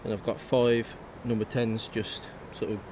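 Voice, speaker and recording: A man's voice: fairly low pitch, measured pace. Angler explaining his rig. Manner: relaxed, detailed walk-through. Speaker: match angler guest. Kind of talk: casual explanation of fishing tackle. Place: outdoors on a riverbank. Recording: outdoor recording, faint noise.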